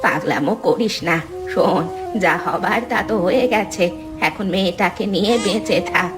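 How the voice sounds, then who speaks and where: A woman's voice: medium pitch, normal speed, natural voice. A woman voicing a scolding yet caring old village midwife in a cartoon, speaking in a matter-of-fact way. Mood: grave, consoling. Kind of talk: Bengali cartoon voice acting. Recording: music behind the voice.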